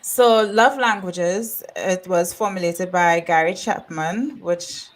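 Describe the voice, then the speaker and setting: A woman's voice: fairly low pitch, slow. A woman from Trinidad, an upbeat and chatty podcast host who laughs often. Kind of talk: casual podcast chat.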